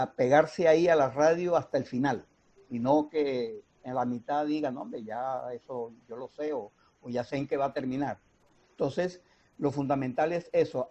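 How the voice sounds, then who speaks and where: Muffled, mellow Colombian Caribbean man, deliberate. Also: medium pitch. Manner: didactic, deliberate, with pauses. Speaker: radio drama writer and mentor. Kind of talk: explanatory interview talk. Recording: dull, muffled lo-fi sound.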